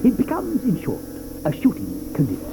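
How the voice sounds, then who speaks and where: An actor playing an eccentric, excitable chocolatier who lectures, stammers and chuckles. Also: male voice, high-pitched, normal speed, creaky, noisy background, full character voice. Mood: breezy. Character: eccentric excitable male chocolatier, middle-aged. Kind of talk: 1980s radio drama acting.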